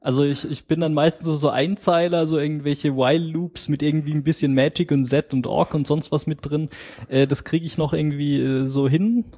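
A man's voice: fairly high pitch, steady tone, fairly quick, a little mellow. A man chatting casually as a podcast co-host, hesitant and full of fillers. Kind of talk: casual tech chat.

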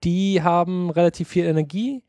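A man's voice: high-pitched, moderate pace, mellow, no room sound. A man in casual podcast chat who rants bluntly and swears freely. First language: German.

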